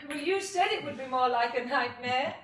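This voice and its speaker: A high-voiced, genteel British woman. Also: lively intonation, normal speed, fairly bright tone, a little hiss or hum. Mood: light, inquiring. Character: genteel, anxious but playful female apocalypse survivor. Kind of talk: British radio drama acting.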